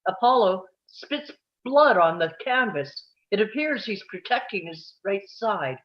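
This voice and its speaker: A man's voice: high-pitched, big pitch swings, measured pace. Dull, boxed-in audio of a tense fight commentator performed by a man. He calls the bout blow by blow as part of a script read. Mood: hushed tension. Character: tense male ringside boxing commentator. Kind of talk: sports commentary in a table read.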